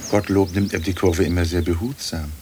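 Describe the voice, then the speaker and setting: An actor playing a pompous, wry old aristocratic ghost in a radio play, speaking in stately, formal phrases. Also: male voice, deep voice, steady tone, brisk, close to an everyday voice. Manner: stately, long-winded, formal diction. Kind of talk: German radio play acting. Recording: old radio recording with faint noise.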